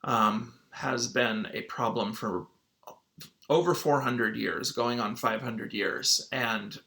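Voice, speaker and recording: A man's voice: medium pitch, slow, gravelly, bright, forceful tone. A man speaking as a teacher to his students, in an informal read-aloud lesson style.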